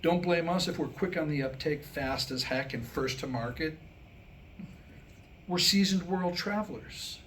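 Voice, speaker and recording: A man's voice: on the high side, measured pace, a bit bright, slight background noise, poor audio. A man giving a staged reading of his own script, mixing comic monologue with history storytelling in a wry, rhetorical way. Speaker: writer reading a comedic script aloud. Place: indoor staged reading with a few listeners reacting.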